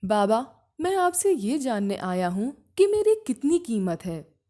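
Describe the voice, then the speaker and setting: Woman as humble storybook shop worker, direct and questioning, lively intonation. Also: a little high, a little slow. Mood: curious. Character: earnest, questioning underpaid male shop worker.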